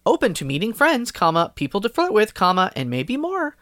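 Male comedy podcast co-host, playful and giggly, very animated pitch, dry close sound. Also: brisk, no room sound. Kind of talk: casual comedic chat, reading posts aloud.

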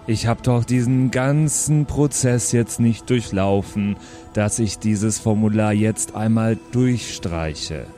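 A man voicing a grumpy, bored heavenly bureaucrat, curt, weary and deadpan, in an improvised audio drama. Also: on the low side, takes it slowly, soft background music. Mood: indignant.

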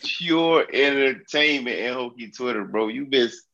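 A man's voice: mid-range voice, creaky, bright, forceful tone, dull audio. Congested man hosting loose sports banter. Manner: fast, loose, profane trash talk. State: congested from a cold. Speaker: podcast host.

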